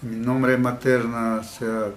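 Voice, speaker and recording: Slow, halting Peruvian shaman. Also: medium pitch, level tone, reverberant, noisy background. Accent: Peruvian Amazon Spanish. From Peru. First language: Shipibo. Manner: halting, searching for words, many restarts. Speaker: shaman healer. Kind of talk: interview answers.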